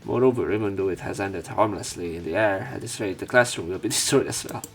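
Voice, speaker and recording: A man's voice: on the low side, quick pace, fairly bright tone, faint music behind. A man doing a dramatic read-aloud of a visual novel as a let's play narrator, with some commentary.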